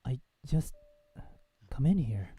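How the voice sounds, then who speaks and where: A man's voice: medium pitch, sing-song, takes it slowly, husky, no room sound, highs cut off, soft background music, voice pushed down, exaggerated voice. Man playing flustered, hesitant schoolboy Evan.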